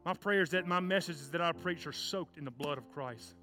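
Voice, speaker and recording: A man preaches in a church sanctuary, his voice sitting a little high. He delivers the sermon with loud, fervent passion, then eases into the closing prayer. Soft guitar music plays clearly underneath him. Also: brisk. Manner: fervent shouting preacher, stomps and cries. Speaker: children's pastor.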